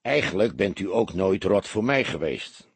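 Man voicing a chatty, husky small-time burglar. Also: sits low, animated, moderate pace, dry recording, full character voice. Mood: hesitant. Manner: chatty, colloquial, hesitant when cornered. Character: chatty small-time burglar, boastful yet nervous and wary.

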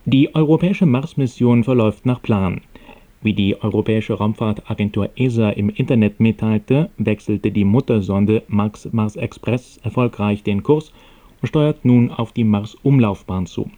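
A man reading scripted radio news in a formal, measured and neutral manner. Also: fairly low pitch, dark tone, lo-fi sound.

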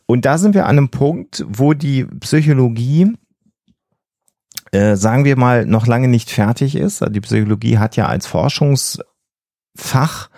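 A man's voice: medium pitch, animated, measured pace, creaky, dark tone, no echo, studio quality. A man explaining things conversationally as a podcast co-host, often repeating words for emphasis.

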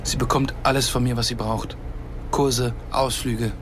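A man playing a proud, secretive, anxious filmmaker in a German radio drama, speaking in a deliberate, insistent way. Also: medium pitch, flat delivery, lightly acted. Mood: defensive. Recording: noisy outdoor street ambience with traffic.

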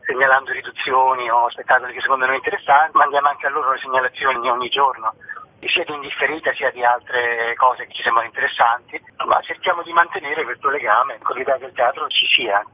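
A man's voice: medium pitch, fairly even intonation, quick pace. A man talking as a theatre artist in a radio interview, speaking spontaneously and discursively, reformulating as he goes. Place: phone call to a radio show. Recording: noisy, tinny phone line.

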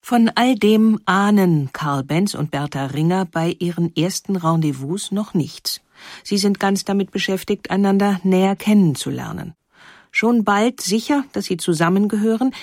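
A woman narrating a scripted historical documentary in a measured, clearly phrased storytelling manner. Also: fairly low pitch, darkish tone, no room sound, studio quality. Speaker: narrator of a historical audio feature.

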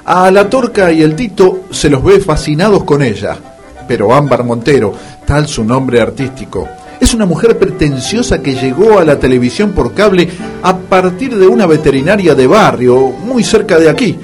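An actor as a wry, dramatic narrator of a radio serial, speaking scripted lines with an Argentine accent. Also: male voice, a little high, very animated, normal speed, a little mellow. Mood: wry suspense. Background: music.

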